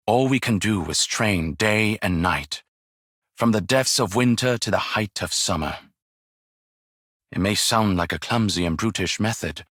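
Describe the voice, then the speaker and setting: An actor playing a wise, weary, ageless martial arts master in a game, with measured, formal, poetic delivery and a husky voice. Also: male voice, lowish voice, a bit bright, no echo, clean studio sound, full character voice. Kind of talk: scripted game character voice lines, solemn and poetic.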